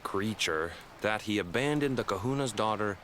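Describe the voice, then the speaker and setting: A man's voice: fairly low pitch, lively intonation, normal speed, fairly bright tone, slight background noise. An actor playing a young island man who earnestly retells his family's legend. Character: young Hawaiian man, grandson of a cursed fisherman. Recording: game audio over background music.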